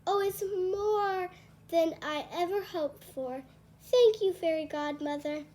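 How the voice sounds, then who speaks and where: A woman voicing a gentle, wistful, dreamy young heroine in a storybook, earnest and full of longing. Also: extremely high pitch, takes it slowly. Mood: delighted.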